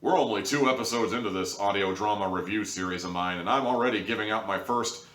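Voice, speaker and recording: Low-voiced man reviewing in a roomy space. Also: quick pace, a bit bright. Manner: emphatic, wry, conversational. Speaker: audio drama reviewer, host. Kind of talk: casual, opinionated review commentary. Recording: roomy, distant microphone.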